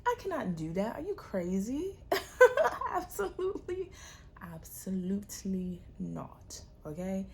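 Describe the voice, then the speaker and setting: A young woman hosting a solo podcast, talking in a sassy, emphatic way with a loud, projecting voice. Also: medium pitch, takes it slowly, gravelly, slight background noise. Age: young adult. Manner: animated, sassy, emphatic repetition. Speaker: podcast host. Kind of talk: casual solo podcast talk.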